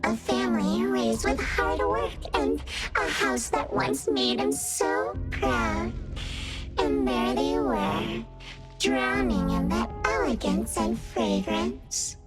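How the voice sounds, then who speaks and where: An eerie female storyteller from a video game, theatrical and chant-like. She speaks slowly and dramatically, with pitch that swings enormously, over loud music. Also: huge pitch swings, exaggerated voice. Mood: grim, storytelling. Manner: slow, dramatic, chant-like delivery. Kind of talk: scripted game narration.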